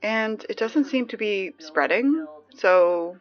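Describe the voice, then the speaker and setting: A woman playing a flustered, bossy high school girl in an audio drama, halting and easily exasperated, heard over a baby monitor. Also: expressive. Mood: puzzled, hesitant. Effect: baby monitor transmission. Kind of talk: naturalistic audio drama acting. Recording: dull baby-monitor sound with music.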